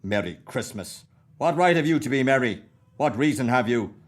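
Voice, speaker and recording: A man's voice: mid-range voice, animated, normal speed, natural voice. Man voicing a bitter old miser, Irish accent, scornful, radio play. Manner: scornful, snapping. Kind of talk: radio drama acting.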